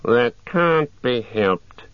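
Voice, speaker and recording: A man's voice: mid-range voice, lively intonation, very slow, pitched above the performer's own voice. A man voicing a quarrelsome, bloodthirsty small orc, whining and complaining in a storybook reading. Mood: impatient. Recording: roomy, dull lo-fi sound.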